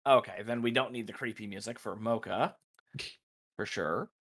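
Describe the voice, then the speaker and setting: A man's voice: on the low side, moderate pace, a bit bright. A man running a tabletop game as dungeon master, improvising descriptions and chatting casually with players.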